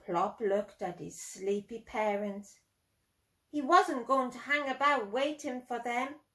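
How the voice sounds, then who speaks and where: A woman's voice: on the high side, takes it slowly, sharp tone. A woman storyteller reading aloud expressively to young children and addressing her listeners directly. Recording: roomy echo.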